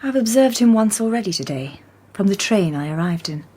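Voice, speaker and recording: A woman playing a crisp, composed lady detective. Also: mid-range voice, expressive, a little fast, darkish tone, close to an everyday voice. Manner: crisp, composed, clipped when dictating notes. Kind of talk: radio drama acting.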